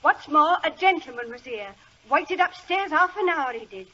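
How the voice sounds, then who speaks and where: A nagging, motherly housekeeper in vintage radio drama. Her voice is high and sharp, scolding with heavy emphasis. The recording is dull and old. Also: expressive, normal speed. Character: scolding, shrill, motherly female London housekeeper. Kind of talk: 1940s radio drama acting. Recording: muffled 1940s radio recording.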